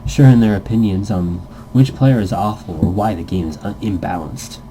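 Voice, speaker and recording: A man's voice: sits low, normal speed, dark tone, slight background noise, poor audio. A man casually reading a visual novel script aloud as narration, stumbling now and then and correcting himself. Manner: stumbles over words, corrects himself, jokey asides.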